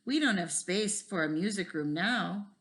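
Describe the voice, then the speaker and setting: An easygoing storybook man who teaches computers , as read by a woman. The delivery is folksy and explanatory, with lively rises and falls in pitch. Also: unhurried. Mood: reminiscing. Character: friendly, joking male computer teacher, easygoing.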